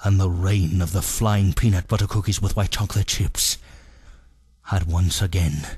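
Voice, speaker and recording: A man voicing a melodramatic soothsayer of the 1830s, narrating a dark storybook legend in a grandiose, ominous way with a husky voice. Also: deep voice, fairly even intonation, dry recording, studio quality. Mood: grave, foreboding. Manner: grandiose, ominous, drawn-out dramatic phrasing. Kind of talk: theatrical comic storybook narration.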